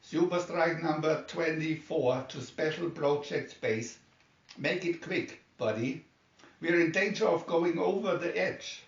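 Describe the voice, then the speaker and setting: A man's voice: fairly high pitch, measured pace. A man playing an urgent craft commander who reports over the radio in clipped, formal phrases. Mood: tense, impatient. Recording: muffled, echoey room, distant mic.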